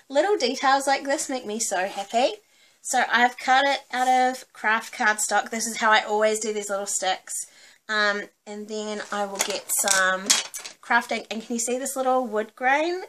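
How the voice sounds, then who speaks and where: A woman's voice: on the high side, a bit bright. Chatty New Zealand woman. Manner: chatty, informal, cheerful. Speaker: craft tutorial host. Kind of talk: casual craft demonstration.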